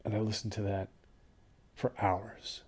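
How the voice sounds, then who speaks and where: A man playing a shaken, weary survivor of a desert hike in an audio drama, recalling it haltingly and in hushed tones. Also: lowish voice, flat delivery, husky. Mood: haunted, subdued. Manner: halting, hushed, trailing into near-whispers. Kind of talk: audio drama monologue, first-person recollection.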